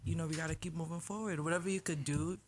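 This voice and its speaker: A woman from Brooklyn, a blogger guest on a live morning show, explaining things in a soft, casual way with many "um"s. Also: low-pitched, steady tone, quick pace, a little hiss or hum. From Brooklyn, United States. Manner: soft-spoken, laughs lightly, "um" and "you know".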